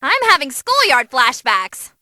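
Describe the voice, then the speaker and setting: An actress voicing an excitable, cheeky young female ring announcer, giving animated, theatrical fight commentary in a video game. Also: high voice, measured pace, no room sound, studio quality, full character voice. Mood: wry commentating. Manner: perky, theatrical, wisecracking. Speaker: match commentator.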